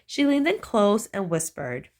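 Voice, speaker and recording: A woman reads a children's picture book aloud in an unhurried, storytelling way. Her voice swoops up and down with playful emphasis on the punchlines. The pace stays gentle and deliberate throughout. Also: mid-range voice, very animated.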